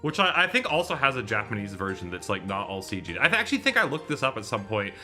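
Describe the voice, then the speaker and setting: A man's voice: fairly low pitch, sing-song, fast, sharp tone, soft background music. A man in his thirties hosting a live stream, chatting casually in a rambling, digressive way and often correcting himself. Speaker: live streamer hosting a visual novel readalong. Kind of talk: casual livestream chat.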